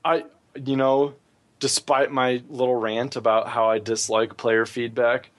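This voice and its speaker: A man's voice: medium pitch, little pitch movement, a little slow. A man co-hosting a gaming podcast, talking casually and giving his opinions freely. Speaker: podcast co-host.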